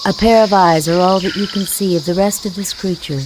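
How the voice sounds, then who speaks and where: A woman's voice: lowish voice, steady tone, brisk, background noise, natural voice. A woman giving scripted second-person narration for an adventure game.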